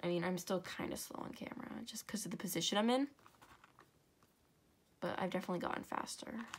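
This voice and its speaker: A young woman with a congested, stuffy nose walks viewers through a crafting project step by step. Her talk is chatty and rambling, full of asides and quick self-corrections, with the easy tone of someone filming at home. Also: fairly low pitch, background noise. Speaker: craft tutorial host.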